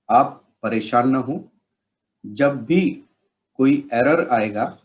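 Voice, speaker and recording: A man with an Indian accent explains software steps as a trainer, in measured, instructional phrasing. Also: mid-range voice, rough recording. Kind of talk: instructional software tutorial narration.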